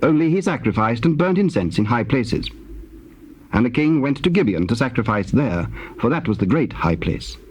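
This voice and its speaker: A man's voice: medium pitch, very animated, moderate pace, a little hiss or hum, rough recording. A man narrating scripture as a formal storyteller, in a solemn scripted reading.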